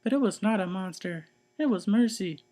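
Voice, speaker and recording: A man's voice: sits high, lively intonation, moderate pace, mellow, pitched above the performer's own voice. A man voicing a timid, easily startled younger sister in a children's storybook. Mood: sheepish explaining.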